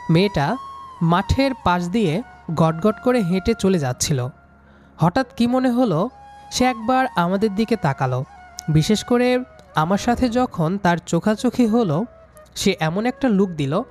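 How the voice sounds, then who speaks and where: A young man reading a scripted first-person story, acting out his own lines of dialogue. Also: high voice. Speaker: story narrator playing the student hero. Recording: music under the voice. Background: background music.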